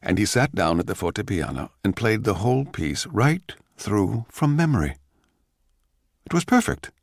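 Man acting bitter composer Salieri, low creaky voice. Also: very animated, dry recording, clean studio sound, heavily acted. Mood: bitter, awed. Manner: measured, theatrical, sardonic. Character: Salieri, bitter, envious male court composer recounting his past. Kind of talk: dramatic first-person narration, radio drama.

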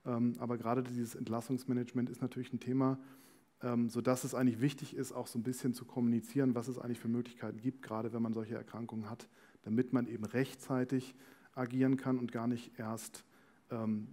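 A man's voice: mid-range voice, level tone, a little fast, dark tone. A man, explaining things as a guest in an on-stage interview. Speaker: company managing director, talk guest. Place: indoor small stage before talk-show panel. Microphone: headset.

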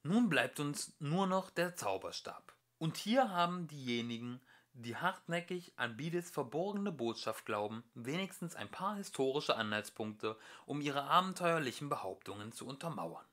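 A man reading a scripted audiobook as an amateur narrator, with expressive, varied emphasis. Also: on the high side, normal speed, hardly any pauses.

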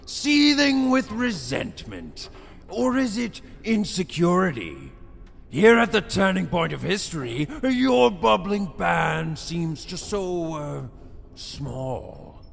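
A megalomaniac admiral from an audio drama, theatrical and pompous. His high voice swoops dramatically and lingers over words. It rings with long reverb over music. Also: very animated, slow, gravelly, heavily acted. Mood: grandiose, sneering. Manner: pompous, declamatory, savoring words. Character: grandiose megalomaniac male villain admiral, gloating and theatrical.

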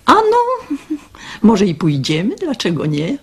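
A woman's voice: medium pitch, huge pitch swings, slightly rough, full character voice. A woman playing an old, good-natured, nostalgic grandmother in a radio drama, drawing out her words as she reminisces. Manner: drawn-out, hesitant, reminiscing.

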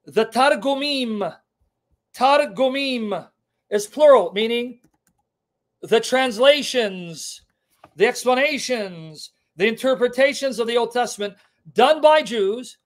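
A man's voice: very high-pitched, slow pace. A middle-aged man whose first language is Syriac, teaching on a live stream from his home kitchen into a webcam, emphatic and repeating key terms. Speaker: online apologetics teacher.

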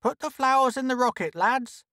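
A man voicing a brash, indignant, tough woman of a space mining camp, loud and scolding, with rough grammar, in a pulp science fiction story. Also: very high voice, normal speed, no echo, studio quality, pitched above the performer's own voice. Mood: airy defiance.

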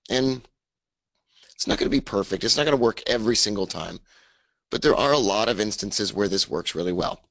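A man with a raspy voice hosting a casual trading webinar, upbeat as he talks through his charts. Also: fairly low pitch, a bit bright, no echo. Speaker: webinar host. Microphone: studio mic, screen share.

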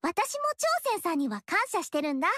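A woman's voice: very high voice, very animated, a little fast, no echo, full character voice. An actress voicing a cheerful, friendly young female monk in a game. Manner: bright and friendly. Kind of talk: game dialogue. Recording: dry studio voice.

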